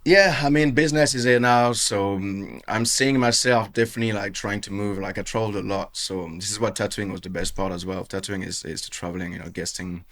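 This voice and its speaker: A man's voice: fairly low pitch, brisk, few pauses, a bit bright. A man in his thirties with a French accent, a tattoo artist chatting loosely in an interview, full of fillers. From France. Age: 30s. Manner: rambling, filler-heavy, often says "you know what I mean". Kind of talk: casual podcast interview.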